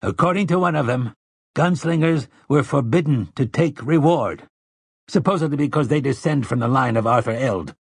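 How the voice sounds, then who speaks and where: Husky, patient old priest acted by a man. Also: medium pitch, moderate pace, no room sound, clean studio sound, voice pushed up. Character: old male priest, patient, resolute, quietly commanding. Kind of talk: audiobook character dialogue.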